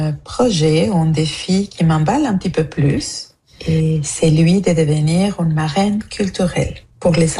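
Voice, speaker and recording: A woman from Mexico leaves an earnest voicemail, her words measured and heartfelt. Her voice sits low and dark, with a gravelly edge. She takes her time. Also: rough recording. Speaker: listener leaving a voicemail. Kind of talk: reading a prepared voice message. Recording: voicemail message.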